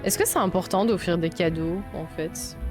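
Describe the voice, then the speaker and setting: Young woman from Belgium, casual let's-play host, slightly low pitch, chatty and loose with swearing, soft game music underneath. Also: normal speed. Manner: chatty, swears often, thinks aloud. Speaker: streamer playing a video game. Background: faint game music.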